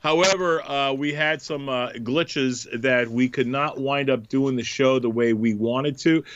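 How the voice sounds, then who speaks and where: A man's voice: medium pitch, a little slow, fairly bright tone, no room sound, highs cut off. A man hosting a comedy podcast, talking casually with a stuffed-up nose from a cold, jokey and full of fillers. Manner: chatty, jokey, many filler ums. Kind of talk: casual radio chat.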